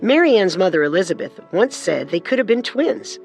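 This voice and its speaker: A woman narrating a scripted true-crime story, measured and with storyteller's emphasis. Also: mid-range voice, very animated, a little mellow. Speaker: co-host narrator. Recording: music under the voice.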